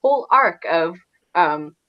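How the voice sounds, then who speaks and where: A woman's voice: fairly low pitch, expressive, takes it slowly, muffled recording. A woman in a casual, rambling book discussion, peppering her sentences with "like". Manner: rambling, frequent "like". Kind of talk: casual chat about books.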